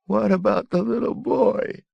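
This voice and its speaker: A man's voice: medium pitch, animated, moderate pace, gravelly, dry recording, muffled recording, pitched above the performer's own voice. A man reading aloud and voicing a frightened young boy who pleads through tears. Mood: sobbing. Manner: pleading, sobbing.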